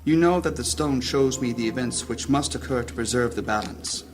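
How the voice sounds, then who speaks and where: A grave male mage and guild leader played by an actor with a mid-pitched voice. He speaks formally and with measured, worried solemnity over clear music in a fantasy audio drama. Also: natural voice. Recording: music behind the voice.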